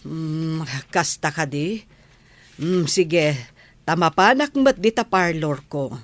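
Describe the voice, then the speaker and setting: A woman playing an easygoing, curious friend in an audio drama, conversational with drawn-out hums. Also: low voice, lively intonation, no echo. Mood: curious, friendly.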